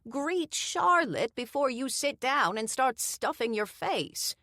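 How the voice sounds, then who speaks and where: Woman voicing a fussing, high-voiced old hostess. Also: expressive, no room sound, studio quality, exaggerated voice. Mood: insistent, bossy. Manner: high, loud and bright, slow doting phrases. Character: cheerful fussing elderly woman, a caring hostess.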